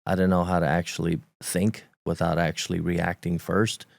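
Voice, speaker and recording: A Romanian-born man in his forties with a Romanian accent, English his second language, telling his story as a guest on a talk show, halting and repeating words as he recalls. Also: deep voice, level tone, creaky, dry recording. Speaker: podcast guest. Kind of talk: candid conversational storytelling.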